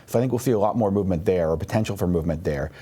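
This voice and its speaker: Man, political columnist, very fast, low pitch, mellow dark tone, hedging, filler-heavy. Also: breathy edge. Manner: rapid, hedging, many fillers. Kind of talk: analytical interview answers.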